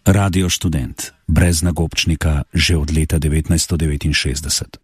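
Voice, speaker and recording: A man's voice: a bass voice, level tone, moderate pace. A man delivering a radio station tagline as an ident voice. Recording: loud noise and clicks behind voice.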